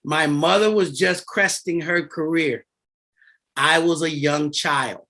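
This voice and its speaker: Bright, high-voiced man in his fifties. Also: slow pace. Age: 50s. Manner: animated, emphatic, repeats key words. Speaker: guest, insurance and debt author. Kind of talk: explaining finance in a podcast interview.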